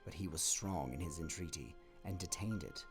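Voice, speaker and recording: Male storybook narrator, solemn and measured, light music bed. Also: deep voice.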